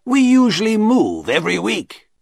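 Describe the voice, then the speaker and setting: A shifty showman of a shopkeeper, acted out by a male narrator, raised well above a normal talking pitch and swinging widely. He is boastful and servile to his betters, bossy to those below him, in a close, dry storybook recording. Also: wildly swooping pitch, moderate pace, creaky, darkish tone, breathy edge, no echo, heavily acted. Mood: fawning, confiding. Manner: obsequious, boastful, repeats himself. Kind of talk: audiobook character voice.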